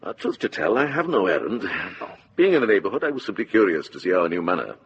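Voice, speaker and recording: An actor playing a calm, firm and kindly older monk who heals the sick, in a radio drama. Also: male voice, low-pitched, fast, slightly rough, full character voice. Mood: courteous. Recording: dull, noisy radio drama recording.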